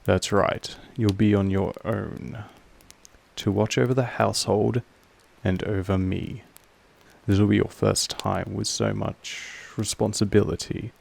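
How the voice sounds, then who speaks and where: Man playing a slow, gravelly, possessive vampire master. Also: lowish voice, full character voice. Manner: slow, measured, formal, softly menacing. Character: possessive male vampire master, calm, commanding, quietly affectionate. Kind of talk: intimate scripted audio roleplay to the listener.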